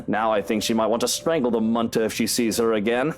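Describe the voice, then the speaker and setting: A man's voice: lowish voice, a little fast, a little hiss or hum, lightly acted. A man voicing a sardonic, brooding cheating husband in a visual novel game, with a sarcastic, dismissive manner. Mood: bitter. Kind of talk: dramatic visual novel voice acting.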